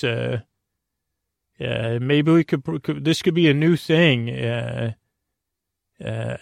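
Creaky-voiced man rambling at bedtime. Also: medium pitch, no echo, studio quality. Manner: halting, meandering, goofy delivery. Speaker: podcast host. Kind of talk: rambling comic bedtime monologue.

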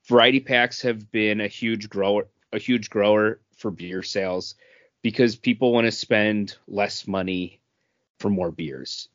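A dry, slightly dull recording of a man with an average-pitched voice. He speaks as a podcast co-host, explaining in an informal way and at times reading text out. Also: no room sound. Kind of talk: casual explanatory chat, some reading aloud.

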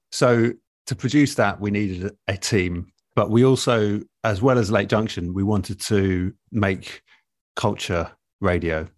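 A man, an audio producer, giving reflective interview answers in a measured, thoughtful way with pauses mid-sentence. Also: sits low, no echo, clean studio sound. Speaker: radio and audio drama producer, interview guest.

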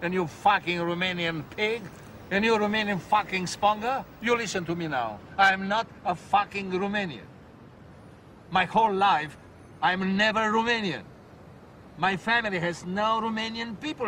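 A man's voice: sits high, lively intonation, normal speed, bright, forceful tone, full character voice. An actor playing an angry, fed-up man with blunt broken English in a film. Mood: indignant, angry. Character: angry Bulgarian man, fed up with being mistaken for Romanian. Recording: film clip outdoors with traffic noise.

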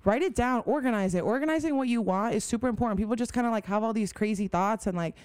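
A woman's voice: fairly high pitch, fast, a little mellow, smooth, dry recording. A young woman guest on a podcast, talking enthusiastically in a rambling way with lots of "like.". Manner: enthusiastic, rambling, many "like" fillers. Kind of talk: casual conversation.

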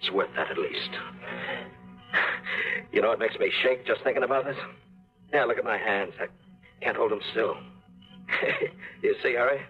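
A greedy, jittery treasure hunter with a fairly low voice, as a man acts him out. He wheedles and talks feverishly. The sound is a muffled old radio play with faint music. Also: moderate pace, long pauses, natural voice. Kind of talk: 1950s radio drama acting.

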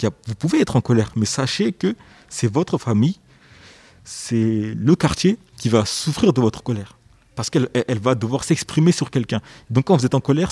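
A man's voice: medium pitch, dark tone, husky, no echo. A young man, an author being interviewed on the radio, speaking thoughtfully and hesitantly, often repeating words as he searches for phrasing. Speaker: author guest.

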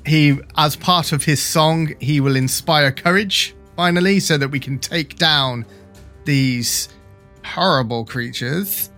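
A man's voice: fairly high pitch, slow pace, sharp tone. A man playing a tabletop game, casually narrating what his character does. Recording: music playing under the voice.

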